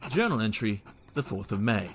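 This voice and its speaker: An actor playing a polite, proper young Victorian gentleman who speaks in a formal, courteous way. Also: on the low side, big pitch swings, normal speed, slight background noise. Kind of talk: audio drama acting and journal narration.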